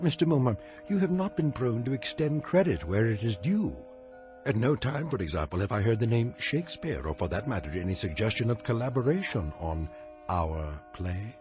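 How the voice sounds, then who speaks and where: Proud stuffy elizabethan bard for a radio play, really swoopy delivery, fancy old-timey wording. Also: male voice, on the low side, darkish tone. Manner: formal, archaic, theatrical diction. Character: dignified, aggrieved Elizabethan playwright, proud and eloquent. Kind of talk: comedic radio drama acting.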